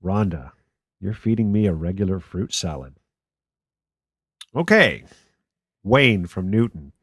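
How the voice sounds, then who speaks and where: Actor as jaded male talk radio host, lowish voice, dry sarcasm, close dry sound. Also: very animated, slow pace, dry recording, full character voice. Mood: dry, sarcastic. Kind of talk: radio play acting as talk radio.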